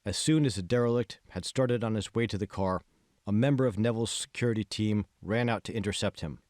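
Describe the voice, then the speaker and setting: A man reading scripted fiction as an audiobook narrator, in a measured, phrase-by-phrase way. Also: fairly low pitch, a little mellow, no echo, studio quality. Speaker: author reading his own audiobook.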